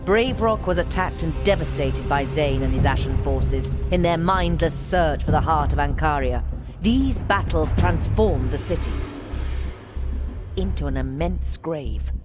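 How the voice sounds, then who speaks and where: A woman's voice: low-pitched, lively intonation, unhurried, over music. An actress playing a solemn, dramatic female leader and mother in a fantasy video game.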